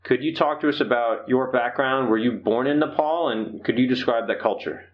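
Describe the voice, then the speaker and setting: A man's voice: medium pitch, normal speed. A man with an American accent hosting an interview podcast in a polite, orderly, explanatory way. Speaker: podcast host. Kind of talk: interview hosting, prepared introduction. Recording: slightly dull, lo-fi podcast audio.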